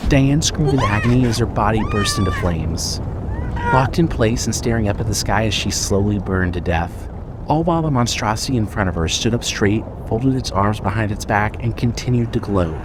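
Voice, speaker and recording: A man's voice: on the low side, expressive, moderate pace, background noise. A man narrating a scripted horror story with dramatic storytelling delivery.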